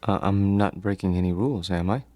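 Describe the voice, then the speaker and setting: An actor playing a shy, lonely, gentle mother's boy in a radio drama, hesitant, stammering and apologetic. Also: low voice, fairly quick, dry recording, lightly acted. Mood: timid, uneasy. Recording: radio play with soft music underneath.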